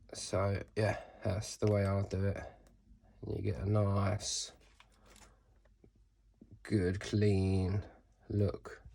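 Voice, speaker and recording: Stuffy-nosed man, low voice. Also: darkish tone, a little hiss or hum. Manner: rambling, swears casually, corrects himself mid-sentence. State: stuffy nose from a cold. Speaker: sneaker customizer. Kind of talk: casual how-to tutorial.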